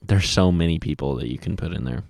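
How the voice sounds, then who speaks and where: Low gravelly dude, wry, asking offbeat questions. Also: male voice, quick pace, a little mellow, no room sound, studio quality. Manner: wry, asks musing questions. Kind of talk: casual podcast chat.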